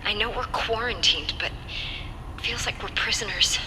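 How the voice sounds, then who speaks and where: A woman's voice: medium pitch, normal speed, noisy background, lightly acted. A young woman acting a worried, urgent hero's girlfriend in a video game cutscene. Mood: anxious.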